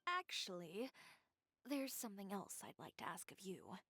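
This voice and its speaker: An actress playing a proud female fashion designer who speaks in a calm, composed, dryly sardonic way. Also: medium pitch, normal speed, husky, dry recording, full character voice. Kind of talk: game voice acting.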